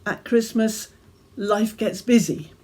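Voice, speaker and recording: A woman reading a devotional reflection as gentle, measured storytelling with deliberate pauses. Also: medium pitch. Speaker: reader of her own devotional reflection. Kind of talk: scripted devotional reflection, storytelling read aloud.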